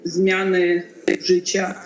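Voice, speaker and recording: A woman's voice: fairly low pitch, completely flat delivery, takes it slowly. A woman judge whose first language is Ukrainian, giving a formal conference talk over a video call with careful, hesitant phrasing.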